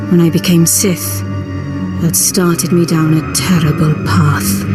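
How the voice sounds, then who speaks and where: A woman's voice: on the low side, lightly acted. Woman playing an earnest conspiratorial Sith cult leader. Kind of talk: video game dialogue acting.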